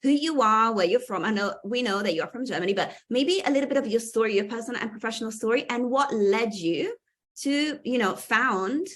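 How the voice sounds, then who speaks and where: A woman's voice: on the high side, a little fast, a bit bright. A woman hosting a casual interview podcast over a video call, enthusiastic and encouraging as she asks her guest questions. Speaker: podcast host.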